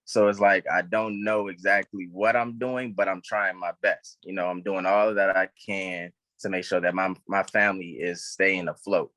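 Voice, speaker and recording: A man's voice: deep voice, flat delivery, normal speed, hardly any pauses, bright, forceful tone, no echo, poor audio. A young man speaking as an actor and interview guest in a casual, chatty style. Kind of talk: casual chat.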